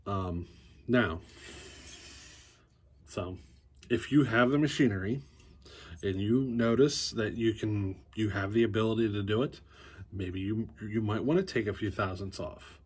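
A man's voice: lowish voice, measured pace, pauses often, slightly rough. A man in his forties teaching chainsaw work in a basement workshop, talking easily to a close phone camera and thinking aloud as he goes. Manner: easygoing, thinking aloud with fillers and restarts. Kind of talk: casual workshop how-to.

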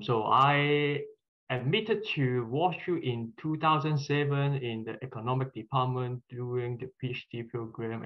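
A man from Hong Kong speaks through a headset on a video call. He keeps it brief and pauses with fillers. The sound is a little dull. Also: mid-range voice. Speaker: panelist, economist.

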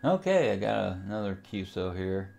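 A man giving a casual software tutorial, unscripted and a little hesitant as he thinks aloud while working. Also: low-pitched, very animated, moderate pace, creaky. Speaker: amateur radio enthusiast, tutorial host.

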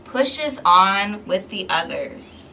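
A woman reading a picture book aloud to young children in an expressive, interactive way, recorded outdoors on a balcony. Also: mid-range voice, extremely slow. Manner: expressive, clearly paced, questioning as if to young children. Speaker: storytime host for a community service. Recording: distant mic with outdoor background noise.

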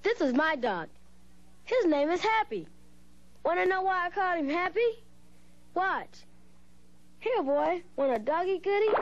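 A woman playing a proud dog owner, presenting her pet in a bright, showy way. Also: very high-pitched, big pitch swings, measured pace, pauses often, exaggerated voice. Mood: cheerful. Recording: lo-fi TV audio with clear noise.

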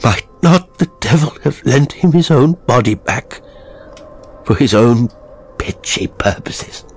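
Crazed, gibbering old manservant, high-strung. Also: male voice, animated, slow, slight background noise. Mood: crazed, shrieking. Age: older. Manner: stammering, moaning, shrieking.

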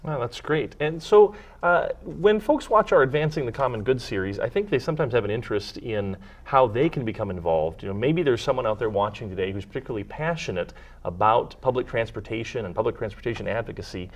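A young man hosts a studio interview with an upbeat, encouraging manner. His voice moves animatedly up and down at a middle pitch, picked up by a lapel mic. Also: expressive, brisk, few pauses. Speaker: interview host. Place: small TV studio set, seated.

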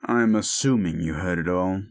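A man playing a bitter, grieving, gruff werewolf in an audio drama, cynical and scolding. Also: sits low. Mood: bitter, menacing. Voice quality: gruff, gravelly. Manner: cynical, scolding, deliberate storytelling. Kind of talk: audio roleplay drama acting.

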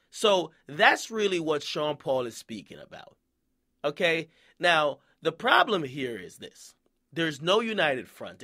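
A man's voice: a little high, bright, forceful tone. A man from Jamaica hosting casual commentary, who keeps checking in with "okay?" and "right?" and acts out quoted voices. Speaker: commentary host.

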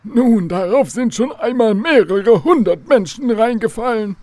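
An actor playing a courteous, old-fashioned, learned professor in a children's radio drama, formal and pedantic. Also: male voice, high-pitched, animated, a little slow, darkish tone, no room sound. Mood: amused.

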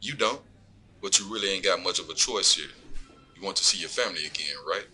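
A man's voice: low-pitched, expressive, fairly quick, bright, forceful tone, soft background music, heavily acted. A man playing a smug, corrupt lawman in an audio drama, taunting and profane as he coolly threatens his informant. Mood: cold, taunting. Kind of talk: scripted audio drama dialogue.

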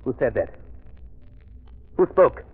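A jittery, arrogant villain from an old radio drama. He mutters slowly, then swoops into startled cries, on a tinny, narrow vintage recording. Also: medium pitch, very animated, slightly rough, heavily acted. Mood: startled, defiant. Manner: muttering to himself, startled outbursts. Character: jittery, arrogant male villain, weary and suspicious. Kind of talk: 1930s radio acting. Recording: muffled, narrow, noisy 1930s radio recording.

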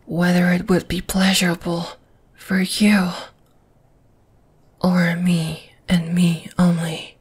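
A woman playing a dominating, flesh-eating spider monster in an ASMR roleplay, whispering in a breathy, teasing, menacing way. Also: medium pitch. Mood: taunting. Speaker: voice actor.

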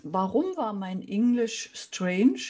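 A woman's voice: mid-range voice, big pitch swings, takes it slowly, darkish tone. A woman in her thirties from Saxony, a business coach telling a personal story in an earnest motivational talk to camera on a video call. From Saxony, Germany. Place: small room indoors.

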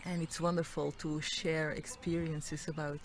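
A woman introducing herself in a festival interview in a gentle, steady way. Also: deep voice, a little slow.

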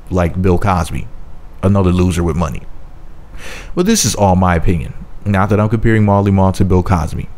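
Deep-voiced man ranting sarcastic gossip. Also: a little mellow. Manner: sarcastic, mocking, profane rant. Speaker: celebrity gossip vlogger. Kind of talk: casual gossip commentary. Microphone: voice-over.

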